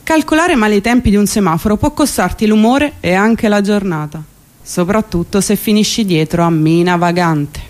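A woman's voice: medium pitch, normal speed, darkish tone. A woman performing a comic theatrical monologue, colloquial, wry and emphatic. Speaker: stage actress and monologist.

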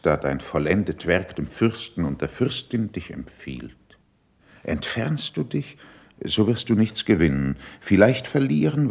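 Slow, deep-voiced diplomatic courtier. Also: male voice, gravelly, breathy edge, full character voice. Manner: deliberate, courteous, emphatic declamation. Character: composed, diplomatic male courtier, persuasive and cautious. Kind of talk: classical German stage verse in a radio play.

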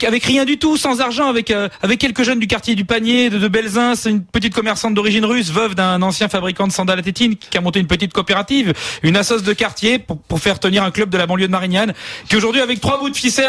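A man giving an ironic, mock-serious football comedy chronicle on live radio, talking in rapid banter. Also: high-pitched, fairly bright tone, dry recording. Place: radio studio.

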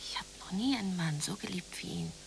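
An actress playing a lovestruck, hopeful and quietly defiant working woman in a radio play, speaking plainly with dry retorts. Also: lowish voice, wildly swooping pitch, fairly quick, vocal fry, slight background noise, exaggerated voice, low-quality recording. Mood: dreamy, hopeful. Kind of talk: naturalistic radio play dialogue.

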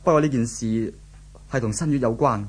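Actor as a husky, anguished young Hong Kong man. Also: medium pitch, a little fast, natural voice. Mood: despondent. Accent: Hong Kong Cantonese. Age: young adult. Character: anguished, brooding young office worker, distraught boyfriend. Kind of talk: 1970s radio drama acting.

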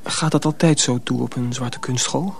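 An actor playing a naive, earnest teenage apprentice in a Dutch radio drama, with an eager, youthful manner. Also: male voice, mid-range voice, normal speed, creaky, slight background noise, lightly acted. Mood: earnest, questioning.

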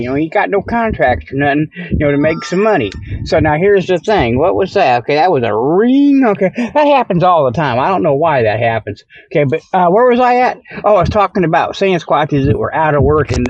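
Male comic host, folksy and digressive, a little higher-pitched, on a dull, dry lo-fi recording. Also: no room sound. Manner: folksy, easily sidetracked, repeats "okay" and "you know". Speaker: host of a comic Sasquatch report show. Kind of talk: rambling folksy comic monologue. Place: outdoors by a river.

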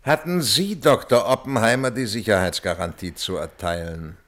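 An actor playing an inquiring counsel who puts brief, formal questions in a radio play. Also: male voice, fairly low pitch, moderate pace, fairly bright tone, close to an everyday voice. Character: terse, inquiring male counsel questioning a witness. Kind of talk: radio play courtroom acting.

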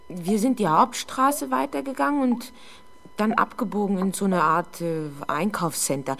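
A young woman playing a frightened, defensive teenage girl under police questioning in a 1970s German radio drama, halting and easily exasperated. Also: lowish voice, a bit bright, a little hiss or hum. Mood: hesitant, uneasy. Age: young adult. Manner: hesitant, halting, exasperated when doubted.

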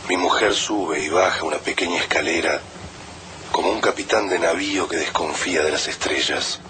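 A man reading a literary passage slowly and contemplatively. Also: deep voice, very noisy, lightly acted. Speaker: reader of a literary quote.

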